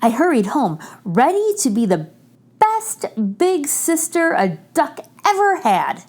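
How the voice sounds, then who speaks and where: A woman reading a children's picture book aloud in an animated, deliberate storytelling manner. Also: high voice, soft background music.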